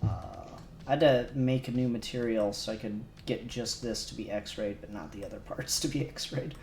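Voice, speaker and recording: A man's voice: mid-range voice, moderate pace, roomy sound, a little hiss or hum. Laid-back man thinking aloud on stream. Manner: relaxed, thinking aloud while working. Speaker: co-host modelling on stream. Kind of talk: casual livestream chat.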